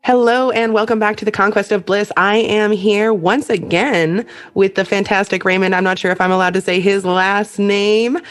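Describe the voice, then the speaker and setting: A woman hosting a podcast, chatting casually with an old friend in a friendly, effusive way with quick backchannels. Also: medium pitch, steady tone, faint music behind. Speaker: podcast host. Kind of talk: casual interview chat.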